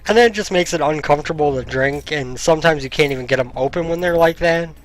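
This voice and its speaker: A young man commenting casually while playing a video game, thinking aloud with many ums, his voice getting slightly hoarse. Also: a little high, fast talker. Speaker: gaming YouTuber. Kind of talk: casual let's-play commentary. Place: home room, indoors. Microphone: headset mic. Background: faint game music.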